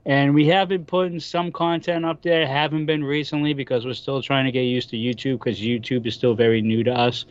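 A man's voice: medium pitch, fairly even intonation, fairly quick, few pauses. A man with a Boston accent chatting casually as a podcast guest and plugging his pages. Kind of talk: casual chat and plugs. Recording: dry but slightly dull audio.

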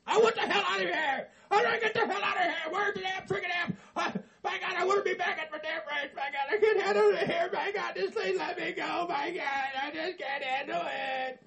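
A man's voice: very high voice, lively intonation, moderate pace, gravelly, a bit bright, some chatter behind, heavily acted. A man playing a grumpy, profane middle-aged man who hates Christmas, blustering and exasperated. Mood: freaked out. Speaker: comedy character.

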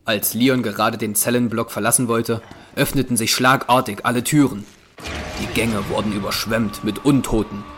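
Low-voiced male audio drama narrator. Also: steady tone, normal speed, a bit bright, a little hiss or hum. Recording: prominent music behind narration.

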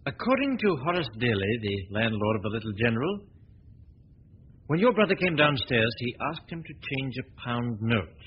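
An actor playing a suave, confident amateur detective in a 1940s radio drama, talking in a breezy, teasing, self-assured way. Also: male voice, mid-range voice, very animated, normal speed, husky. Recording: dull old broadcast recording with faint hiss.

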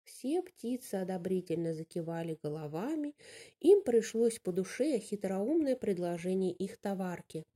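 A woman with a slightly husky voice, telling a fairy tale aloud as an expressive storyteller. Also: mid-range voice, normal speed.

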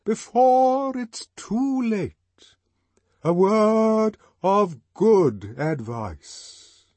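A man voicing a pompous, solemn male church dignitary with a ringing, sepulchral voice and slow, grave delivery. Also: high-pitched, expressive, darkish tone, no echo, voice pushed up, full character voice. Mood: severe.

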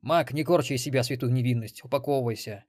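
A man's voice: medium pitch, quick pace, a little mellow, dry recording, natural voice. Guy doing a grumpy snarky programmer dude, irritated, dry audiobook recording. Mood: grumbling. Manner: grumbling, theatrical. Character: grumpy, sarcastic male programmer and gamer, easily irritated.

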